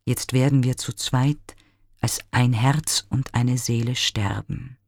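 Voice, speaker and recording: Despairing lovesick youth read by a man: husky, creaky, dry close sound, unhurried pleading. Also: medium pitch, fairly even intonation, a little mellow, dry recording. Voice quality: breathy, whispering at times. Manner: slow, intimate, mournful pleading. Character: young man, lovesick for his own reflection, despairing.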